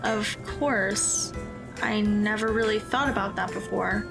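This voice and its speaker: A woman playing an awkward, nervous high school girl in an audio drama, a little hesitant, with music behind her. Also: slow, vocal fry. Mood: guarded. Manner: hesitant, stammering when flustered.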